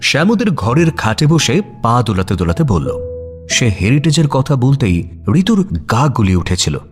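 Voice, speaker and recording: A man's voice: medium pitch, sing-song, moderate pace. A man narrating a scripted story in a dramatic storytelling style. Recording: music bed under voice. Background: background music.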